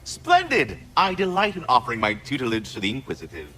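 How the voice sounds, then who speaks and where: A man's voice: mid-range voice, wildly swooping pitch, fairly quick, sharp tone, faint music behind. A man voicing a fussy, self-important magical squirrel in a video game, eager to serve and tutor, with flowery, theatrical enunciation. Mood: delighted, tutoring. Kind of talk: video game character acting.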